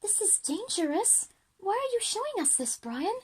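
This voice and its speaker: Woman playing very high-pitched, outspoken schoolgirl. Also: sing-song, normal speed, a little mellow. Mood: worried. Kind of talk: children's audio drama acting.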